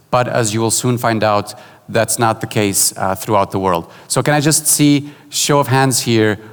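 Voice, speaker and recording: A man's voice: fairly low pitch, smooth. Portuguese man giving a keynote in a hall. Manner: relaxed, joking, many filler "uh"s and restarts. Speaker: keynote speaker. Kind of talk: conference keynote talk. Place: large conference hall. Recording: hall reverb.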